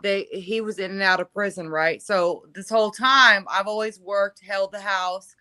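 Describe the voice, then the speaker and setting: A woman's voice: medium pitch, fairly even intonation, moderate pace, sharp tone, clean tone, no echo. A woman in her forties telling her personal story as an interview guest, conversational, emphatic and self-assured. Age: 40s.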